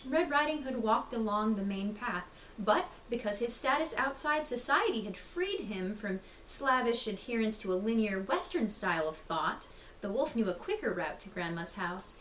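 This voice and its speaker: A woman's voice: a little high, fairly bright tone. A woman reading a bedtime story theatrically, with a mock-solemn, dramatic delivery. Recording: roomy, distant mic, faint noise.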